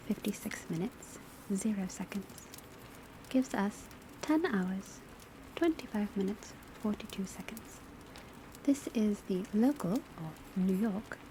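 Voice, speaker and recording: Softly whispering, mellow witch school professor played by a woman. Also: medium pitch. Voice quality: soft, breathy whispering. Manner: measured, precise, instructive. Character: patient, scholarly witch school divination professor. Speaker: teacher in a classroom roleplay. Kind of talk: soft ASMR roleplay lecture. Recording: soft steady background ambience noise. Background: crackling fireplace, pencil writing, rain on rooftop.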